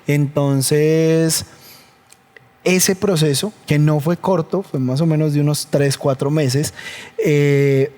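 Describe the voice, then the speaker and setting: A Colombian-accented man gives his personal testimony in a measured, earnest way. His voice is mellow and warm in tone, sitting a little high for a man. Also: fairly even intonation. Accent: Colombian Spanish. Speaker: church member guest.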